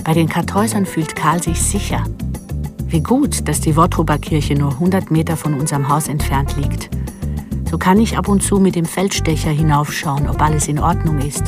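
An actress playing a watchful mother and secret killer in a radio drama, telling her thoughts in measured, controlled sentences. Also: low voice, close to an everyday voice. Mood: calm, protective. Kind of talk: radio drama inner monologue. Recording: music behind the voice.

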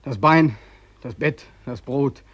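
A man's voice: medium pitch, takes it slowly, dry recording. A young soldier home from war in a radio drama, worn out and hopeless. His mid-range voice is dry and close, with an exhausted, bitter, pleading manner. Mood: desperate. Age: young adult. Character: despairing, weary 25-year-old war veteran longing for death. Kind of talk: radio play acting.

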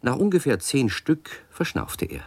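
A man narrating a children's radio play as a storyteller, with measured, scripted delivery. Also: medium pitch, huge pitch swings, darkish tone, no echo.

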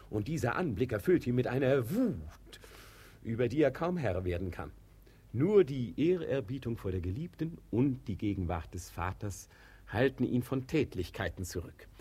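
An actor playing an ardent young lover who poses as a courteous singing teacher in a German radio comedy, with formal, theatrical delivery. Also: male voice, mid-range voice, big pitch swings, normal speed, mellow, close to an everyday voice. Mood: courteous, explaining. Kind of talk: German radio play acting of classic stage comedy.

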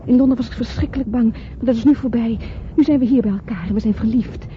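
An actress playing a flirtatious married woman in a radio play, expressive and coaxing. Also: fairly high pitch, fast, natural voice. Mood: impatient, passionate. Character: flirtatious married woman fleeing London, pleading then seductive. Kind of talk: Dutch radio play acting. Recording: dull, noisy old radio play recording.

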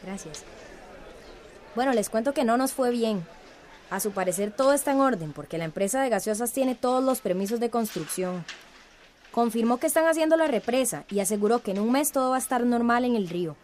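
A woman's voice: on the high side, lively intonation, fairly quick, a little mellow, slight background noise, lightly acted. A woman with a Central American accent playing an earnest, cautious young community activist in a radio drama.

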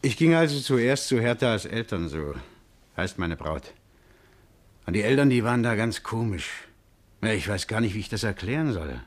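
An actor in a 1950s German radio crime drama playing an anxious, hot-tempered working-class man who tells his story haltingly. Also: fairly low pitch, big pitch swings. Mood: uneasy, confiding.

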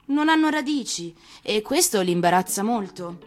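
Storybook little flower, woman performer: polite, philosophical, airy and humble, lively lilting intonation over music. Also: medium pitch, normal speed, voice pushed up, close to an everyday voice. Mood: polite, musing.

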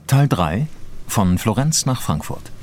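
Ein Mann spricht als Ansager eine geschriebene Hörspielansage. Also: male voice, on the low side, sing-song, slow, a little mellow. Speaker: announcer. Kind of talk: scripted series announcement. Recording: clear thunderstorm noise behind.

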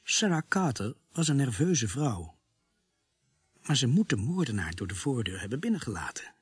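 A man playing a sombre police sergeant who narrates a radio play in a grave, deliberate, husky voice. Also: medium pitch, very animated, dry recording, clean studio sound. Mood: grim, measured. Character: sombre male police sergeant recounting a grisly night.

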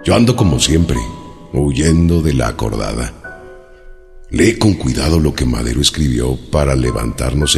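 Very deep, gravelly Mexican revolutionary leader. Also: male voice, in a large hall, full character voice. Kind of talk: radio drama acting. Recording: music behind the voice.

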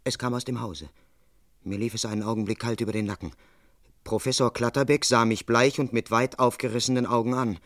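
An actor playing a dutiful London police constable in a crime radio play, speaking in a measured, formal, precise and officious way. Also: male voice, medium pitch, steady tone, a bit bright, no echo, studio quality, lightly acted. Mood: measured, tense. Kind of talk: German crime radio play, first-person narration and dialogue.

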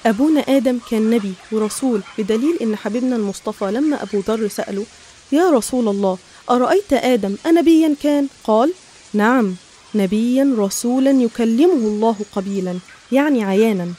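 A woman's voice: on the high side, fairly quick, mellow, husky. A woman with an Egyptian accent narrating a scripted religious story.

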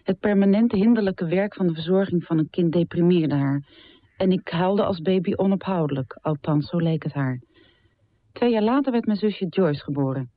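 An actress playing a poet's grown daughter, narrating memories of a difficult mother in a measured, reflective radio drama style. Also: lowish voice, no room sound, phone-quality sound, close to an everyday voice. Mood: sober, reflective. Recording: thin, band-limited dry radio recording.

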